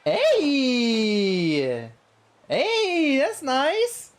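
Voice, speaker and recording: A man's voice: very high voice, huge pitch swings, drawn-out words, a little hiss or hum. A young man with an enthusiastic, easily delighted manner, giving casual live commentary as he plays a game.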